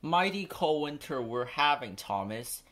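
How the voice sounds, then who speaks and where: A man's voice: on the high side, animated, unhurried, a bit bright. A chatty village innkeeper from a storybook, read by a man. He sounds friendly and plain-spoken.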